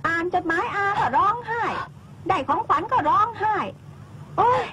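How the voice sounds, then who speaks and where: A woman's voice: extremely high pitch, normal speed, bright, forceful tone, slight background noise. A woman playing a blunt young woman friend who scolds and insists sharply. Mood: scolding.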